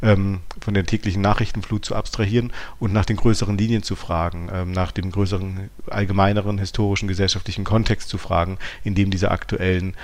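A man with a fairly low voice speaks as a podcast co-host. He talks analytically in a conversational way, starting hesitantly and often rephrasing as he builds his point. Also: flat delivery, fairly quick, hardly any pauses, darkish tone.

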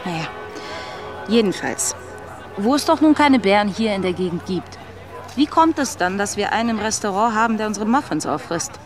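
An actress playing an earnest, skeptical Mexican immigrant woman working in fast food, in a naturalistic radio drama. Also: medium pitch, expressive, faint music behind, lightly acted. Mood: puzzled, skeptical.

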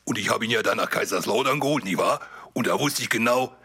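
A gruff old football coach in a mock documentary. The voice is lowish, husky and sharp, and he keeps asking for agreement in a folksy, sure-of-himself way. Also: fast, exaggerated voice. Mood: self-assured. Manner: folksy, keeps adding a confirming tag question.

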